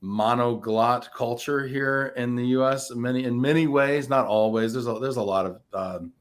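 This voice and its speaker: A man hosting a live interview show at an everyday pitch. he teases his guest in a playful way and reads viewer comments aloud. he often restarts his sentences mid-thought. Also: normal speed. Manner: playful, teasing; frequent restarts and fillers. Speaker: show host.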